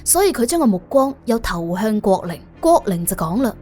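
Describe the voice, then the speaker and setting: 一個女人用粵語演繹有聲偵探小說，照稿講故事，做旁白。. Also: female voice, mid-range voice, very animated, brisk, warm tone, faint music behind. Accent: Cantonese. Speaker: audiobook narrator. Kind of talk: expressive scripted detective-story narration.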